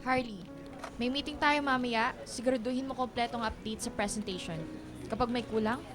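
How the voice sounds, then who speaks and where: A woman's voice: a little high, steady tone, a little fast, over music, lightly acted. A woman playing a cold, threatening office manager, curt and commanding, in a radio drama.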